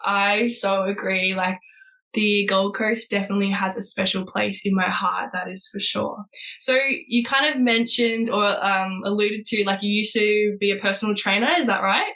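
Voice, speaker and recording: A woman's voice: medium pitch, fairly even intonation, moderate pace, a bit bright. A woman hosting a podcast, upbeat and enthusiastic, with frequent fillers like "like" and "so". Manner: bubbly, enthusiastic, heavy use of "like" and "so". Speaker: podcast host.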